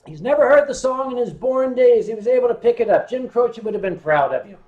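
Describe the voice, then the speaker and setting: A man hosting a casual livestream, joking and swearing freely, with bursts of rapid talk. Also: extremely high pitch, animated, dull audio. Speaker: livestream host. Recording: roomy room with loud guitar music. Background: guitar music.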